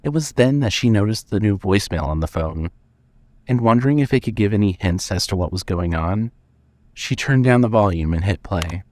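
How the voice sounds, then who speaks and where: A man's voice: lowish voice, animated, normal speed, darkish tone, dry recording. A man narrating scripted suspense fiction as the storyteller of an audio drama.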